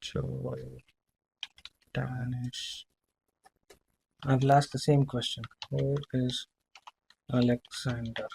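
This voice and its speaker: A man demonstrating software in a live screen-share walkthrough, speaking haltingly, repeating himself and often checking in with a short tag question. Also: medium pitch, flat delivery, creaky, a little hiss or hum. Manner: halting, repetitive, checking in with "right?".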